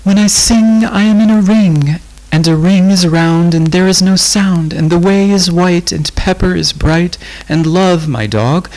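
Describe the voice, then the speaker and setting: A man voicing a lonely little girl who sings to herself in the dark in a high, wavering sing-song. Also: a little slow, few pauses, low-quality recording. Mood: frightened.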